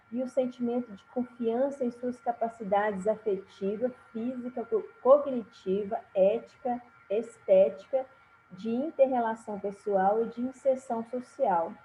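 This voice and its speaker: A woman from Brazil teaching a recorded lesson, talking slowly with frequent fillers and restarts. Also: medium pitch, dark tone. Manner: slow, halting delivery with many fillers and restarts. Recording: tinny phone-like sound with faint hiss.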